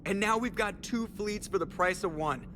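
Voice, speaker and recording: Cocky starship pilot, slightly high pitch, easygoing, joking, sardonic, video game banter, noticeable background noise. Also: male voice, normal speed, pushed and bright, far from the mic. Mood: wry, upbeat. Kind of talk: scripted video game acting. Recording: clear background noise and ambience.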